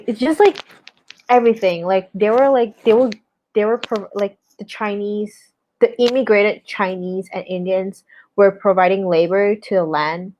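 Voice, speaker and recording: A woman's voice: medium pitch, darkish tone, a little hiss or hum. A young woman from Malaysia hosting a casual book discussion, thinking aloud with many restarts and hesitations. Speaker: book club host. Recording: slightly dull lo-fi sound.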